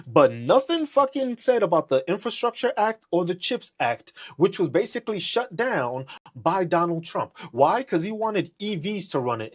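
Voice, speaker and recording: A man's voice: a little high, breathy edge. A man hosting a podcast, ranting in a profane, mocking and confrontational way. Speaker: podcast host. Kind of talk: live political rant.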